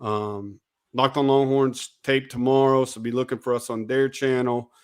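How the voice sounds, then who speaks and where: Man hosting a sports chat show, a bit hoarse, relaxed and chatty, mid-pitched voice. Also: normal speed. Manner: easygoing, thanking viewers in a plain chatty way. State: slightly hoarse. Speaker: host. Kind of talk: casual sports talk show.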